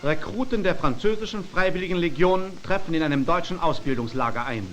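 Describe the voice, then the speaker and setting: A man's voice: fairly high pitch, brisk. A man narrating a scripted 1940s wartime propaganda newsreel in a formal, declamatory announcer style. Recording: 1940s newsreel soundtrack, loud music. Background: loud music.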